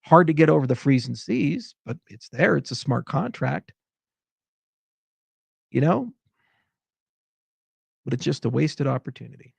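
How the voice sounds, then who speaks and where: Gravelly man ranting off the cuff. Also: medium pitch, animated, a little slow, pauses often, no echo. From Hawaii. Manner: exasperated, rambling rant. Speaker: tech founder giving commentary. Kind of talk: unscripted monologue.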